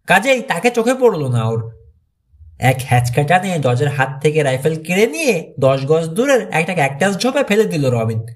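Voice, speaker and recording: A man's voice: on the high side, big pitch swings, normal speed. A man reading a mystery thriller audiobook as a dramatic scripted narration.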